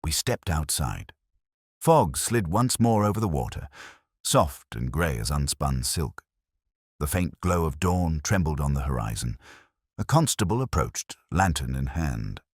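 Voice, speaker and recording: Male narrator, very deep and animated, dramatic detective fiction, measured literary phrasing. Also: dry recording, studio quality. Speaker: narrator and audiobook reader.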